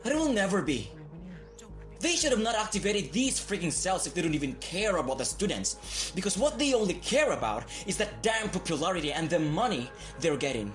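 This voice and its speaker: A man playing an aggrieved teenage student in a radio drama, halting and emphatic as he speaks. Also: high-pitched, sing-song. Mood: outraged. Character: aggrieved teenage male student recounting injustice. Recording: voice over clear background music.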